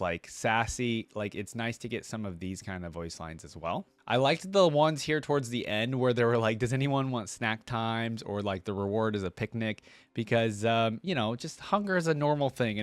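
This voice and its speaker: A man's voice: lowish voice, dry recording. A man hosting a reaction video, giving informal, chatty, easily amused commentary.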